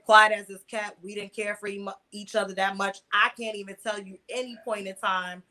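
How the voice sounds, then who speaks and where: Bright, forceful young American woman telling her story. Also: mid-range voice, level tone, moderate pace. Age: mid twenties. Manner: colloquial, emphatic with repeated words for stress. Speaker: interview guest, online video creator. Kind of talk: candid personal storytelling in an interview.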